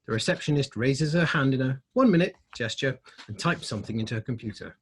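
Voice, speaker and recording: A man with a husky voice narrating a screenplay in a dramatic read-through. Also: medium pitch, animated, fast, a little hiss or hum. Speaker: script reader.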